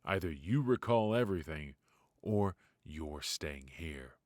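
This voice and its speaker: A man playing a weary, ruthless yet fatherly mafia boss in an intimate ASMR roleplay, with a low, husky, breathy voice and a wry, sighing delivery. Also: expressive, darkish tone, no echo, clean studio sound. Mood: apologetic, anxious. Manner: slow, pausing, sighing, wry.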